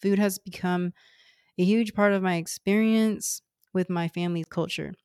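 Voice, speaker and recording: A woman in her thirties, whose first language is Cantonese, reads a scripted podcast introduction in a measured, earnest way. Her voice sits low for a woman. The recording is dry and close, with no room sound. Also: fairly even intonation, a little mellow, clean tone, no echo. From Houston, Texas, US. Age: 30s. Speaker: podcast host.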